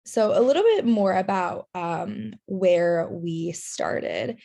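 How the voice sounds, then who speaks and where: Woman with a gravelly fry, earnest. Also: mid-range voice, expressive, slow pace, dark tone. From Taiwan and China. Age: young adult. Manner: earnest, many fillers. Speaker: workshop presenter. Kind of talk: online workshop presentation. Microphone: video call.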